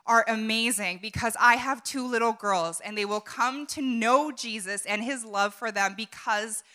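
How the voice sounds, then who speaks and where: A woman's voice: fairly high pitch, moderate pace, bright, forceful tone. A woman in her thirties introducing the speaker, heartfelt and emotional, with many hesitations. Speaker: conference host introducing the speaker.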